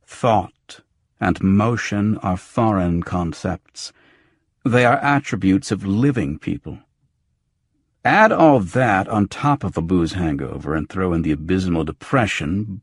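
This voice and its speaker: A man narrates a noir audiobook in a low register with a gravelly, creaky edge. His scripted first-person delivery is dramatic, swelling for emphasis. The sound is close and dry, with very little room. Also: dry recording.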